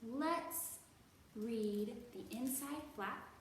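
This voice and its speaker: A woman with a fairly high voice leads a children's storytime in a very echoey room. She speaks at an unhurried, teacherly pace and enunciates key words with exaggerated care. Her manner is encouraging, and the mic sits at some distance. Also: a little hiss or hum. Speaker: children's library storytime host. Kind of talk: children's storytime teaching, talking to young viewers.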